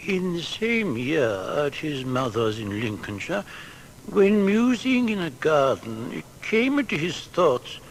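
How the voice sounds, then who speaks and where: An actor playing a measured, reminiscing storyteller reading a historical account in an audio biography. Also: male voice, on the high side, very animated, a little hiss or hum, exaggerated voice, rough recording. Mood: musing.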